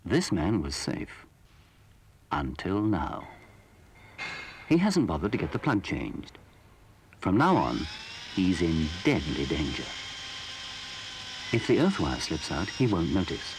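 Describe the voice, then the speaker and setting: A man narrates a grave warning film. He speaks low and very slowly, with dramatic swoops that add menace. The old soundtrack is clearly noisy. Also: big pitch swings. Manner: ominous, deliberate.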